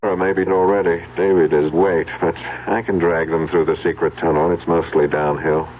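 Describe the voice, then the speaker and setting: An actor playing a scheming, murderous gentleman in a radio mystery, with a hesitant politeness that covers cold calculation. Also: low voice, flat delivery, fairly quick, close to an everyday voice. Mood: cold, conspiratorial. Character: scheming, murderous gentleman son, suave and falsely casual. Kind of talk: old-time radio mystery acting. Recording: noisy, narrow phone-like old radio recording.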